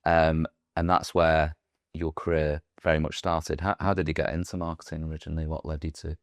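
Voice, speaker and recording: A man hosting a podcast, asking questions in a halting way with repeated words. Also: extremely low pitch, little pitch movement, no echo, clean studio sound. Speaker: podcast host. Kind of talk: interview questions.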